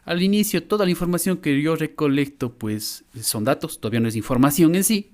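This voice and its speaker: A man's voice: fairly high pitch, lively intonation, brisk, darkish tone. A middle-aged man with a Latin American Spanish accent, lecturing informally in an online class and often checking in with short question tags. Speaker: university lecturer. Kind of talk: explanatory online lesson. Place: indoor room, online class.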